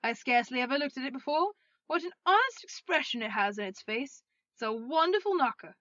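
Woman acting an exuberant, bright-voiced old reformed miser. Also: high-pitched, lively intonation, fast talker, no room sound, muffled recording, pitched above the performer's own voice. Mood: elated. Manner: exclamatory, effusive. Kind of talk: dramatised storybook reading.

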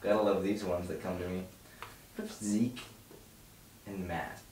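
A man's voice: low-pitched, fairly even intonation, a little slow, darkish tone. A young man speaking casually as the writer leading a group table read, recorded in a room. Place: home dining room, group around a table. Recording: echoey room, distant mic, very noisy.

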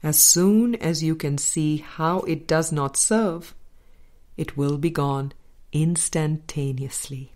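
A woman narrating a spiritual book aloud in a measured, deliberate way, pausing between phrases. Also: sits low, a little mellow. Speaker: audiobook narrator.

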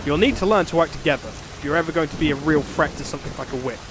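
A man's voice: on the high side, rapid-fire, background noise, lightly acted. An actor playing a stern, officious male leader in a comic fantasy audio drama, formal and authoritative.

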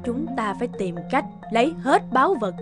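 Lady doing evil scheming queen mom, high cartoon villain voice, loud music. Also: animated, brisk, lightly acted. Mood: scheming, bitter. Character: scheming, greedy wicked queen and doting mother. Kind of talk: dubbed cartoon villain acting.

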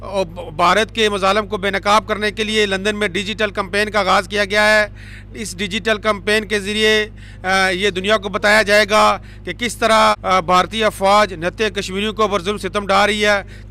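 Male campaigner, high bright voice, rhetorical outdoor statement. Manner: emphatic, rhetorical. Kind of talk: street interview statement. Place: city street outdoors. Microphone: handheld mic.

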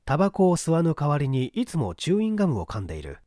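Animated male mystery audiobook reader. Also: fairly high pitch, fast talker, no room sound, studio quality. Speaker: audiobook reader, stage actor. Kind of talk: scripted narration of mystery fiction.

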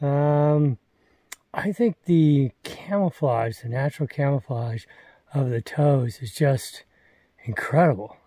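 A man in his sixties talks slowly into a handheld camera, rambling through his day with plenty of ums and restarts. His voice is warm and mellow at a middle pitch. The sound is dry and close. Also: no echo. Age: 60s. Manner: unhurried, rambling, frequent fillers and restarts. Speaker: thru-hiker vlogger. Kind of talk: casual trail vlog. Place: forest trail outdoors; wooden hostel room indoors. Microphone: phone or camera held at arm's length.

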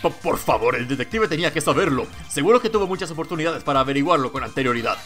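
Man doing a low, skeptical prosecutor. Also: animated, racing, fairly bright tone, faint music behind.

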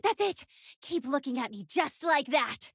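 Very high female voice, manic sadistic pirate girl, swooping intonation, taunting, vengeful, video game. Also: lively intonation, a little slow, no room sound, clean studio sound. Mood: manic, gleeful. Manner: taunting, manic, theatrical with cackling delivery. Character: unhinged, vengeful, sadistic pirate younger sister.

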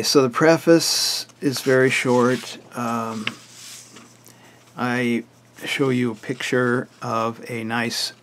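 An older man with a hoarse, raspy voice who often clears his throat, walking plainly through a book he wrote. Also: mid-range voice, fairly bright tone, slight background noise. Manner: plain, matter-of-fact, list-like explanation. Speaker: author presenting his book. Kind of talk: casual book walkthrough.

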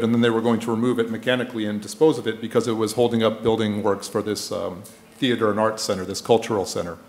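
A middle-aged man giving a conference lecture into a lectern microphone, explaining things informally with hesitant pauses. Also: medium pitch, a little mellow. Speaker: conference speaker, archaeologist presenting a project. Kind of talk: public lecture with slides. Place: indoor lecture room. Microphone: gooseneck lectern microphone. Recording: echoey room sound.

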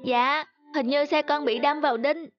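A woman's voice: sits high, steady tone, normal speed, lo-fi sound, a little hiss or hum, close to an everyday voice. A woman voicing a polite, sweet schoolchild in a cartoon story. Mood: worried.

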